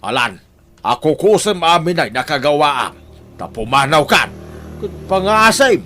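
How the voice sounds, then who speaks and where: A man acting a father with a drawn-out, emphatic way of talking in an audio drama. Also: on the high side, very animated, bright, forceful tone, slight background noise, heavily acted. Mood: reproachful. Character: father of a grown son, family man. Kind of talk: Ilocano radio-style audio drama acting.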